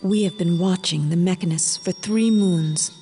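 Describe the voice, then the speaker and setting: An actress playing a proud, mystical pagan leader in a fantasy game, speaking with solemn, formal authority. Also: female voice, on the low side, moderate pace, warm tone, lightly acted. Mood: commanding. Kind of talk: scripted fantasy game dialogue. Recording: game soundtrack music behind.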